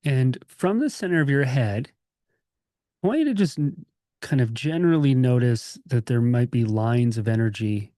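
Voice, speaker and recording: A man leading a guided meditation as a teacher, speaking in a gentle, calming, informal way with pauses. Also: mid-range voice, no echo, studio quality. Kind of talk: guided meditation, conversational and unscripted.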